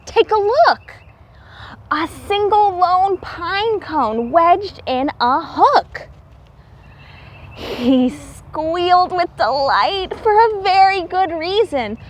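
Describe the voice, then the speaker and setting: A woman reading a story aloud to young children as a teacher, expressive and explaining things simply. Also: high voice, a little hiss or hum.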